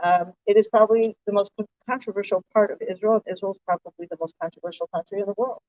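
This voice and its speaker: An American woman co-hosts a live talk, speaking clearly and conversationally to an audience. Her voice sits in a middle range and is picked up from some distance. The sound is somewhat dull and muffled. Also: mid-range voice, fairly even intonation, quick pace, darkish tone. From United States. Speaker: co-host, organization director. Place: stage before an audience.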